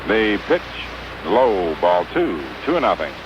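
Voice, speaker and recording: American baseball announcer, noisy vintage radio. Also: male voice, very animated. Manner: measured, clipped phrases with pauses between pitches. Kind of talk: 1950s radio sports commentary. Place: ballpark broadcast booth. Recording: noisy, dull vintage 1950s radio broadcast.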